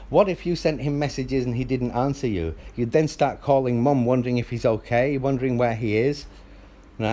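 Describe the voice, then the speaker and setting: Sex: man. Role: father on a phone call. Style: heated argument. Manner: insistent, lecturing, loud. Mic: phone held close. Pitch: mid. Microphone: phone held close to mouth.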